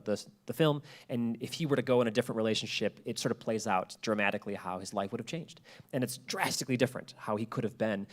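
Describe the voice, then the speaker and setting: A man's voice: mid-range voice, darkish tone. A man presenting an informal lecture to an audience, explaining ideas and posing rhetorical questions as he goes. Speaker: presenter giving a talk on podcast world-building.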